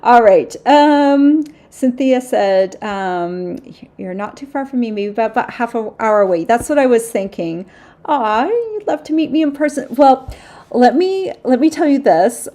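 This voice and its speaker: A middle-aged woman talks to her livestream viewers in a loose, rambling way, circling back with restarts and "um"s. Her voice sits at an ordinary pitch for a woman but carries a noticeable gravelly fry. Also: a little mellow. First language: German. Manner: rambling, chatty, many restarts and fillers. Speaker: crafting livestream host. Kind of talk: casual livestream chat with viewers.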